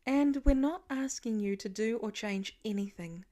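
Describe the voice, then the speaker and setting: A woman's voice: a little high, moderate pace, warm tone, natural voice. A woman voicing a loving, practical mother in a storybook reading, soothing and matter-of-fact.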